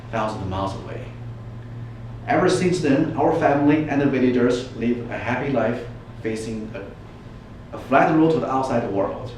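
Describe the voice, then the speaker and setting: A higher-voiced man delivers a storytelling speech in a theatrical, emphatic way. He sounds far from the microphone in a very echoey room, and he throws out rhetorical questions as a public speaker does. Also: a little mellow, a little hiss or hum. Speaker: club speech contestant. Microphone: distant microphone.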